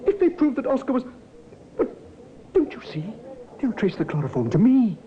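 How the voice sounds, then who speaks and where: Frightened young parson with swooping voice. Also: male voice, extremely high pitch, wildly swooping pitch, heavily acted. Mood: panicked. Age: young adult. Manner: anxious, halting, stammering. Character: frightened, guilt-ridden young parson, meek. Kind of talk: 1950s radio drama acting. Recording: muffled 1950s radio recording.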